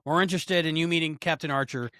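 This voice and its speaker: Chatty podcast guy, slightly high voice. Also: fast, a bit bright, dry recording, clean studio sound. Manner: chatty, rambling, lots of fillers and restarts. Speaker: podcast co-host. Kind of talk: casual podcast chat.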